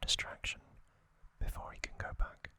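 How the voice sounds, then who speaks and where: ASMR recap host, fully whispered, bright sibilant tone, measured short phrases. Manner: hushed, slow, deliberate, in short phrases.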